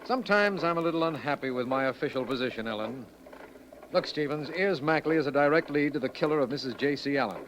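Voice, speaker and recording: A hard-boiled prosecutor in a vintage radio mystery. His voice is sharp and fairly high for a man, with an authoritative, confident clip. The old recording is slightly noisy. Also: lively intonation, fast. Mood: firm. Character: tough, confident male district attorney. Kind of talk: 1940s radio acting.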